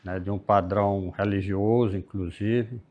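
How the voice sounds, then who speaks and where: A man, talking as a guest. His voice sits fairly low, and he speaks in an unhurried, reflective way. His sentences often trail off softly. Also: fairly even intonation, muffled recording. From Piauí, Brazil. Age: 60s. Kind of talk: casual interview.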